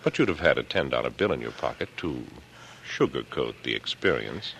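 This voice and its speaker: Very deep-voiced, menacing older killer. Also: male voice, big pitch swings, creaky, fairly bright tone, exaggerated voice. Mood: gloating menace. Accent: American. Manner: taunting, deliberate, condescending. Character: coolly menacing older killer, smug, patronizing and calculating. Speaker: radio actor. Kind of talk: 1940s radio drama acting. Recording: noisy vintage radio broadcast recording.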